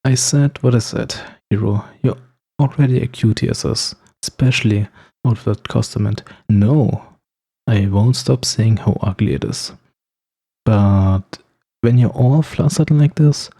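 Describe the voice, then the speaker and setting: A low, husky supervillain played by a man in an ASMR roleplay. He is teasing and sarcastic, bantering with his hero rival in a dark, relaxed tone, snarky but principled. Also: exaggerated voice. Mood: flirty, teasing. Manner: sarcastic, playful, bantering, with chuckles. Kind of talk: ASMR roleplay monologue to the listener.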